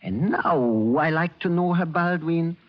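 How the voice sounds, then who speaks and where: An actor playing a cold, commanding German general with a German accent and formal speech in a 1940s radio thriller. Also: male voice, on the high side, lively intonation, a little slow. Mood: menacing. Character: menacing Nazi German general, cold and demanding. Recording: muffled old-time radio recording.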